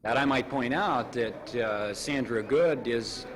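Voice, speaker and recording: A man's voice: mid-range voice, slow pace, a crowd in the background. An American man, a defense attorney answering the press in careful, formal and somewhat hesitant wording. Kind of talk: press interview remarks.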